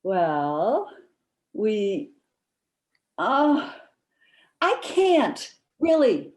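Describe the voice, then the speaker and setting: Woman acting sheepish secretive friend, very animated pitch, unhurried delivery, hesitant, playful, comic stage dialogue. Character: coy, sheepish woman guarding a naughty secret. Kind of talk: two-person comic one-act play, conversational acting.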